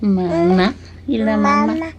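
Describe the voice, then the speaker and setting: A woman reading children's stories aloud to her baby, speaking gently and breaking off for playful asides. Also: mid-range voice, animated, takes it slowly, dull audio, a loud crowd.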